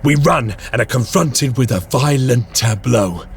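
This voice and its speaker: An actor playing a stern, zealous space marine commander in a video game, speaking in grand, solemn oratory. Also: male voice, mid-range voice, lively intonation, unhurried, slight background noise. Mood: grave, brooding. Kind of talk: dramatic video game cinematic acting. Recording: dramatic music behind the voice.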